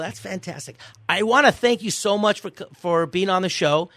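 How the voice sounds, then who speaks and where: Male radio host, slightly high voice, dry studio sound, talks in halting starts and restarts. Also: fairly bright tone, no room sound. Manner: chatty, halting, with many restarts and fillers. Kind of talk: casual radio interview and sign-off.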